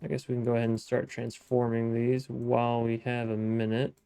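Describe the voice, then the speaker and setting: A man with a gravelly, mellow voice chats his way through a modded building game, musing aloud and correcting himself as he plays. The sound is dry and close to the mic. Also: medium pitch, monotone, brisk, no room sound. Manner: chatty, thinking aloud, frequent restarts and self-talk. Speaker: gaming let's-play host. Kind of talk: casual gameplay commentary.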